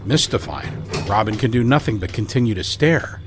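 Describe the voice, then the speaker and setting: A man narrating an audio drama in a measured, dramatic storytelling manner. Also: fairly low pitch, animated, background noise.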